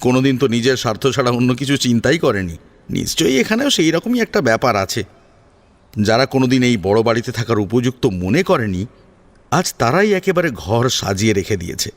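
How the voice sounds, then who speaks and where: A man's voice: medium pitch, big pitch swings, a little fast. A man narrating a scripted family drama as a storyteller, in a dramatic audio-story style.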